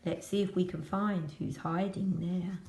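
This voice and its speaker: A woman reading a children's picture book aloud as a school storyteller, expressive and addressing young children. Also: lowish voice, a little slow, roomy sound, background noise.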